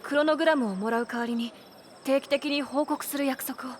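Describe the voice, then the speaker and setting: An actress playing an earnest, dutiful young junior agent in an anime game, polite and deferential. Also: female voice, high-pitched, fairly even intonation, a little fast, slight background noise, natural voice. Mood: apologetic, dutiful. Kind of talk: Japanese anime game voice acting. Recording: clear music behind.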